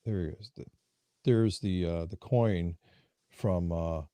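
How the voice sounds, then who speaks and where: A man nearing fifty, a guest on a casual live stream, talking haltingly with many fillers. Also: low voice, dark tone, no room sound. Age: 40s.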